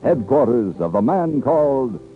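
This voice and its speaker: A man announcing a radio drama in dramatic, deliberate 1950s style. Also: medium pitch, big pitch swings, faint music behind. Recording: tinny vintage radio recording.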